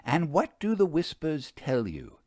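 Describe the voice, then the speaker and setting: A man voicing a brisk, inquisitive woman investigator in an audio story, with a wry, emphatic manner. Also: on the high side, wildly swooping pitch, a little mellow, no room sound, clean studio sound, pitched above the performer's own voice, heavily acted. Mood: curious, musing. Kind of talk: audio storybook character acting.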